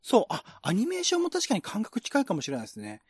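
A man's voice: high-pitched, wildly swooping pitch, fast. A man hosting a casual, explanatory discussion in polite speech, often checking in with his listener. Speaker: host and reader of a radio-drama reading show.

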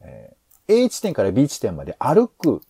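A man's voice: medium pitch, wildly swooping pitch, unhurried, gravelly, mellow, breathy edge, dry recording. A man hosting a podcast, talking casually through planning ideas in a hesitant, rambling way as he thinks aloud. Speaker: podcast host.

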